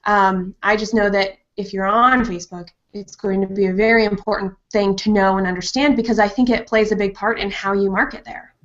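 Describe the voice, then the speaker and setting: A woman's voice: mid-range voice, steady tone, a little fast, hardly any pauses. A middle-aged woman on an online panel, emphatic, repeating phrases for effect, speaking over a video call. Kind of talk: panel discussion. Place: home room with bookshelves. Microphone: earphone headset on video call. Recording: dull, lo-fi video call audio.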